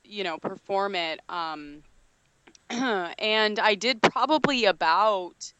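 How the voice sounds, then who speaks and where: A woman's voice: medium pitch, slow pace, fairly bright tone, clear, resonant tone, no echo, highs cut off. A woman, an actress guest, telling in upbeat, chatty talk how she got a film role. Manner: upbeat, chatty, many fillers. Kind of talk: casual interview storytelling.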